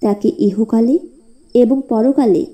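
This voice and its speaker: A woman's voice: a little high, takes it slowly, warm tone. A woman narrating a scripted moral tale for a cartoon, as the storyteller.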